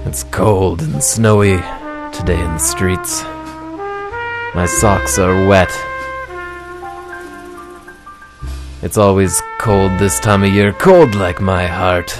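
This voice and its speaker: A man doing a gloomy hard-boiled private eye from a comic radio play, his voice sitting very low and unhurried. He broods and deadpans his way through every line. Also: over music. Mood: brooding. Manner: brooding noir narration, deadpan. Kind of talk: comic radio noir acting.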